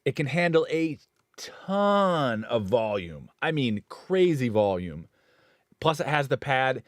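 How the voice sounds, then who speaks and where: A man's voice: mid-range voice, very animated, slow pace, no room sound. Rambling studio engineer man, dry sound. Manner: rambling, self-correcting, enthusiastic about gear. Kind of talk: casual live-stream gear chat.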